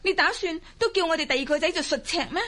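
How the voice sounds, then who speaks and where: A woman playing a forceful Mongol chieftain's wife in a Cantonese audio drama, speaking with urgent insistence. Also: very high-pitched, fast talker. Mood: urgent, insistent.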